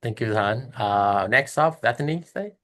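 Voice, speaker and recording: A man's voice: mid-range voice, expressive, unhurried, a bit bright. A man moderating a video-call panel, speaking with a lot of hesitant fillers.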